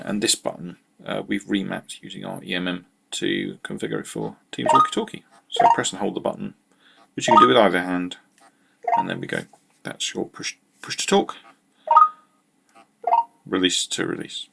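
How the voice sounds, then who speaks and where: A British man giving an informal product walkthrough as a tech channel presenter, halting and matter-of-fact in his delivery. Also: sits low, creaky, fairly bright tone, slightly rough.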